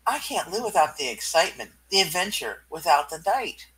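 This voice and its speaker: A performer voicing a bold, flirty female costumed thief with a playful, breathy drawl in a comic book puppet reading. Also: medium pitch, sing-song, a little fast, fairly bright tone, low-quality recording. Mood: yearning.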